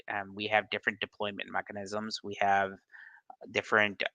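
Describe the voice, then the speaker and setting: A man's voice: deep voice, vocal fry, sharp tone, no room sound, low-quality recording. A man on a video call answering questions in a casual live session, hesitant, with many ums and restarts. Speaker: study group presenter answering questions.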